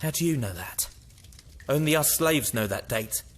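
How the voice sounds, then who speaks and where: An actor playing a grim, impulsive rebel slave in an audio drama. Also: male voice, mid-range voice, animated, normal speed, lightly acted. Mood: stern, accusing.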